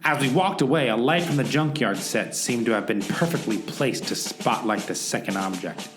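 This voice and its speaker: A man playing an earnest amateur sleuth who narrates a comic radio play with deadpan drama. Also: medium pitch, moderate pace, lightly acted. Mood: wry, earnest. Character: earnest noir-style male amateur sleuth narrating his rescue mission. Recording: roomy echo with music underneath.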